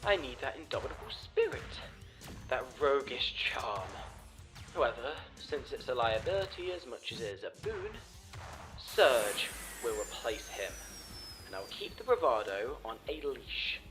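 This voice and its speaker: A man's voice: medium pitch, sing-song, music in the background. Man voicing a pompous villainous doctor, swooping theatrical delivery. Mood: grandiose, calculating. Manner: theatrical, formal, deliberate diction. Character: pompous, scheming villain doctor, a cold analytical schemer. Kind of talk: comic dub villain monologue.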